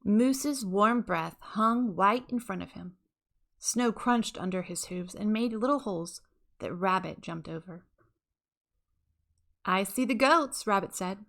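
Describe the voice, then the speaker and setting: A woman reading a scripted children's story aloud as an expressive audiobook narrator. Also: medium pitch, unhurried, vocal fry.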